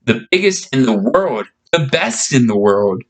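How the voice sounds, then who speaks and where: A man's voice: mid-range voice, sing-song, a little slow. A man voicing a friendly, reassuring young girl in a storybook reading, chatting and explaining things simply.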